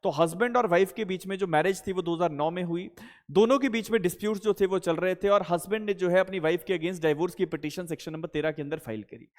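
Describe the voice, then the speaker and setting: A man's voice: high voice, quick pace. A man with an Indian accent giving an explanatory law lecture, posing questions and answering them in a didactic way, mixing in English legal terms. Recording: long hall-like reverb.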